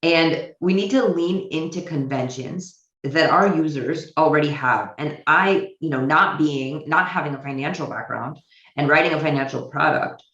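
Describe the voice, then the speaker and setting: An American woman giving a virtual conference talk, thoughtful and hesitant, pausing on fillers and restarting her sentences. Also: deep voice, fairly bright tone, roomy sound, lo-fi sound. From United States. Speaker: conference speaker. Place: home office in Israel.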